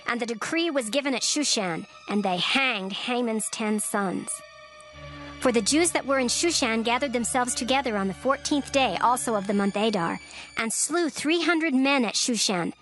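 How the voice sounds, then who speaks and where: A woman narrating scripture in a steady, formal reading with careful pacing. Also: fairly high pitch, normal speed, soft background music, low-quality recording.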